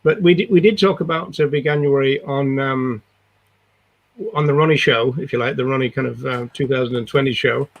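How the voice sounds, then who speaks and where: An older man with a croaky voice from a cold, co-hosting a casual video-call discussion in a rambling, hesitant way. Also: mid-range voice, fairly even intonation, fairly quick, highs cut off. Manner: rambling, hesitant, lots of restarts. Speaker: co-host. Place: home room.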